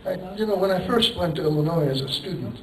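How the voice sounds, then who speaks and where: A man lectures to a conference audience, thinking aloud, apologizing for rambling and tossing out rhetorical questions. His voice sits fairly high for a man. It carries through a reverberant hall from some distance off the mic. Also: expressive, quick pace, a crowd in the background. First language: Turkish. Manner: rambling, self-deprecating, poses rhetorical questions, chuckles. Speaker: engineering professor giving a lecture. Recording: echoey hall, voice picked up from a distance.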